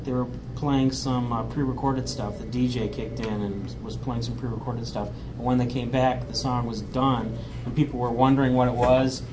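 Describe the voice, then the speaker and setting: Male podcast host, casual and hesitant, ordinary middle pitch, noisy home setup. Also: steady tone, normal speed. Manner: conversational, hesitant with fillers, reads a prepared roundup. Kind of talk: casual podcast chat and show recap.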